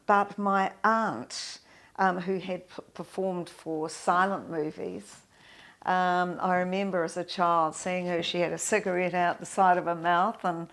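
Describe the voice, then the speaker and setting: An older woman, reminiscing in an interview in a halting, thoughtful way with many pauses. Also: lowish voice. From New Zealand. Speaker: guest, pianist and composer.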